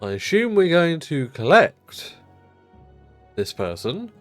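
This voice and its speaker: A man's voice: on the high side, very animated, takes it slowly, no echo, faint music behind. A man streaming a city-building game, chatting casually and rambling, his nose blocked up because he just rolled out of bed.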